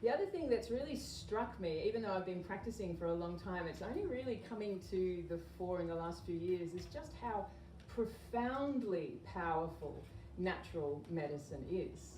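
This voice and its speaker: A woman gives an informal, explanatory health talk in an earnest, conversational manner. Her voice is soft-edged and mellow. It is recorded from a distance in a reverberant room, with faint noise behind her. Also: lowish voice, expressive, moderate pace, hardly any pauses. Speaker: naturopath and health educator giving a talk. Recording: echoey room, distant mic, background noise.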